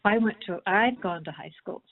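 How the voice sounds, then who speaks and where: A woman filmmaker speaking earnestly and haltingly, unscripted, on a panel call. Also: medium pitch. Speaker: documentary filmmaker. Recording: muffled narrow-band conference call line.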